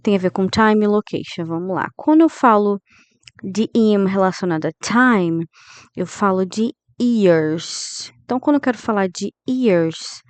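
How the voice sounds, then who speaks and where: A Brazilian woman in her thirties teaching English grammar, who keeps checking in with her students and slows down to model English phrases. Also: medium pitch, smooth, no echo. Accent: Brazilian Portuguese. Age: 30s. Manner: didactic, checks in with listeners often. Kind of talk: grammar lesson.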